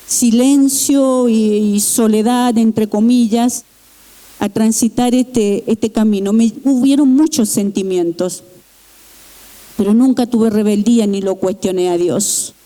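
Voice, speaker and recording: A middle-aged woman from Chile, giving an earnest, halting personal testimony in church with pauses and restarts. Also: medium pitch, dark tone, low-quality recording. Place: church sanctuary.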